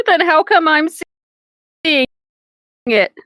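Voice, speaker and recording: A woman's voice: high-pitched, animated, takes it slowly, fairly bright tone, no echo, studio quality. A woman co-hosting a comedic reading of fan fiction, irreverent and giggly, slipping between dramatic delivery and casual banter. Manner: giggly, breaks into laughter while reading; irreverent, crude joking.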